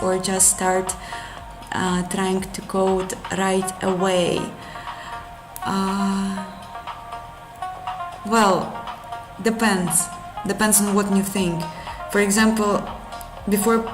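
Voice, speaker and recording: A woman from Russia with a Russian accent, a game engine developer who thinks aloud on a live coding stream and answers chat in halting, self-correcting English. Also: medium pitch. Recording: roomy with music playing behind.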